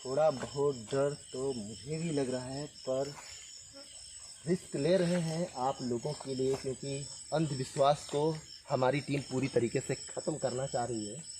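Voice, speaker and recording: A man from northern India hosting a ghost-hunting vlog, speaking earnestly and haltingly, repeating himself as he addresses spirits and viewers. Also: mid-range voice, warm tone. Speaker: paranormal vlog host. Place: outdoors at night by a tree. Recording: noisy outdoor night recording with insect sounds.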